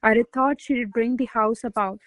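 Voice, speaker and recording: A woman playing a devoted, excitable young lady-in-waiting in love, reciting effusive romantic verse in a classical radio play. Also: fairly high pitch, fairly quick, no room sound, close to an everyday voice. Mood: elated, adoring.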